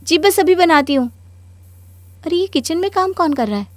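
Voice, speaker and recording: A woman's voice: extremely high pitch, animated, normal speed, no room sound, pitched above the performer's own voice. A woman voicing a polite young modern bride in a Hindi cartoon story, deferential and eager to please. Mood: surprised.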